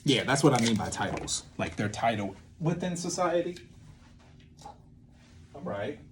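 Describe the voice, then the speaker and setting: A young man explaining in a casual, halting way, thinking aloud and often repeating and checking himself. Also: mid-range voice, big pitch swings, background noise. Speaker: creator teaching a creative method.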